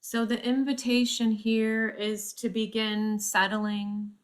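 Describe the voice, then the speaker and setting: Woman, yoga nidra teacher. Extremely slow, measured guided-meditation delivery, medium pitch, long breaks within phrases. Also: flat delivery, smooth. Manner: measured, pausing often mid-phrase.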